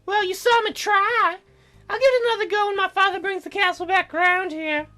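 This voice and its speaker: A whimsical young fairy girl , acted out by a man in a very high falsetto, bright and sing-song. She sounds chirpy and needy, a visual novel character with faint music behind. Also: fairly even intonation, brisk. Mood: chatty.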